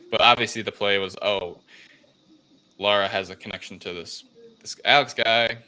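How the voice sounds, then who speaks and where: A man's voice: fairly low pitch, steady tone, moderate pace, pushed and bright. A man co-hosting a podcast, recapping a movie in casual chat. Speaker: podcast co-host.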